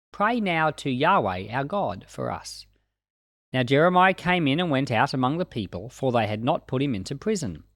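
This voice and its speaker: A man's voice: mid-range voice, no echo, clean studio sound. A man reading and explaining scripture as a Bible teacher, in a plain-spoken, explanatory way. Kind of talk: scripture reading and informal commentary.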